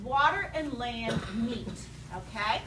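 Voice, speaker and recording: A woman's voice: fairly high pitch, expressive, slow. A woman teaching an elementary class in an interactive read-aloud, instructing, asking questions and repeating students' answers back. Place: indoor school classroom. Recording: roomy, distant, noisy classroom recording.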